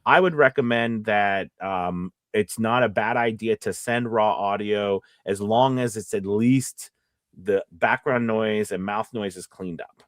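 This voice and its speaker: A man hosting a casual live teaching chat on a close mic, rambling a little as he thinks out loud and checks in with his listeners. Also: fairly low pitch, measured pace, no echo. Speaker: host and voice acting coach.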